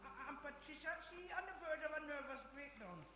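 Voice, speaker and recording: A woman's voice: high voice, lively intonation, a little fast, background noise. Woman acting exasperated Jamaican great-grandmother, echoey hall. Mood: flustered. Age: older. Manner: argumentative, emphatic, reproachful. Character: exasperated, blunt great-grandmother weary of family troubles. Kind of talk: live radio soap acting. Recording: roomy hall echo, music behind.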